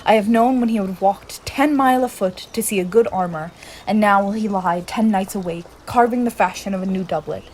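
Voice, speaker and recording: A scornful, witty bachelor soldier acted by a woman muses aloud in theatrical Shakespearean style. The mid-pitched voice sits over faint background noise in an amateur radio play. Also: brisk, darkish tone, lightly acted. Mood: scornful musing. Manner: theatrical, musing soliloquy.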